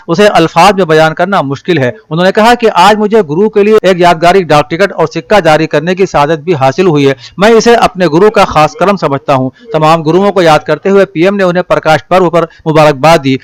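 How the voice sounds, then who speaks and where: A man reading a scripted news bulletin in a formal, measured manner. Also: sits high, clear, resonant tone, no echo. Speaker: news reader.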